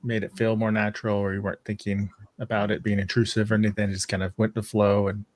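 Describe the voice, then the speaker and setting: A man's voice: on the low side, little pitch movement, fast talker, no room sound. A man sharing his opinions in casual, unscripted conversation as a fan panelist.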